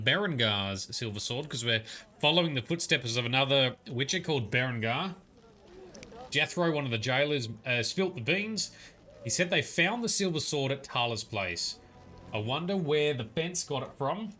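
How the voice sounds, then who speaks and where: Bright-voiced chatty male streamer. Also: medium pitch. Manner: chatty, reads and answers chat comments, frequent "um"s. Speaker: livestreamer playing a game. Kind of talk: casual livestream commentary.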